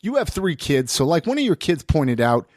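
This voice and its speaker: A man's voice: on the high side, very animated, normal speed, darkish tone, no echo, clean studio sound. An American man hosting a podcast in a casual, chatty style, slightly congested from a head cold. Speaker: podcast host. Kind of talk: casual podcast chat and sponsor reads.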